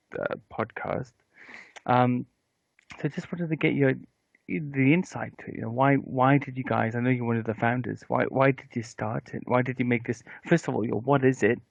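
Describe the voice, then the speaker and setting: A man's voice: medium pitch, vocal fry, no room sound. A man in his thirties hosting a podcast interview from home on a headset mic, talking casually with many ums and restarts. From Wales. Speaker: podcast host. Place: home room with bookshelves. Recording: dry, slightly dull headset audio.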